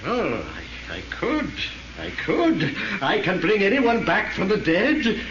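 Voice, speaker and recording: An actor playing an obsessive, boastful mad doctor with melodramatic, ranting delivery in a 1930s radio drama. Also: male voice, high voice, wildly swooping pitch, slow, full character voice. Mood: manic, boastful. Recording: muffled, noisy, echoey 1930s radio recording.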